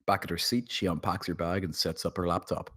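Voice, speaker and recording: Deep husky man reading stage directions. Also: steady tone, fairly quick, fairly bright tone. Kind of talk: scripted narration at table read.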